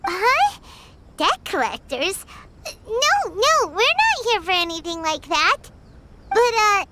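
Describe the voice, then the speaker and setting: Game fairy sidekick: tiny childlike girl, very high and swooping, perky; heavy noise on the track. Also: huge pitch swings, takes it slowly, heavily acted. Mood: curious, flustered. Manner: perky, exclamatory, folksy. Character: excitable squeaky small childlike female fairy companion. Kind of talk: video game voice acting.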